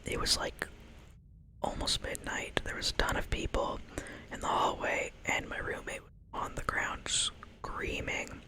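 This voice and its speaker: A young woman telling a long personal story in a soft ASMR whisper, wandering off into asides as she goes. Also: unhurried.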